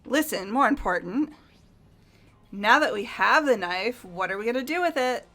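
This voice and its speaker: A woman's voice: a little high, lively intonation, normal speed, bright, forceful tone. A woman voicing an upbeat, blunt, teasing teenage girl in a game playthrough. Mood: upbeat, direct. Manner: direct, teasing. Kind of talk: voiced visual novel game playthrough.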